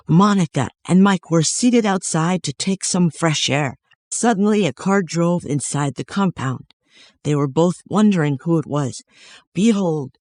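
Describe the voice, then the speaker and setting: A man's voice: fairly high pitch, a little mellow, no room sound, clean studio sound. A man narrating a scripted moral story as its storyteller.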